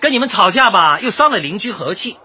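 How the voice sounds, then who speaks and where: Cocky young dj in a comedy radio play, high sharp voice, swings all over, argues and lectures. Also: male voice, big pitch swings, a little fast, slightly rough, heavily acted. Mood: aggrieved. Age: young adult. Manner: brash, glib, protesting, lecturing. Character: cocky, argumentative young dyed-hair male DJ. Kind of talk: light comedy radio drama acting.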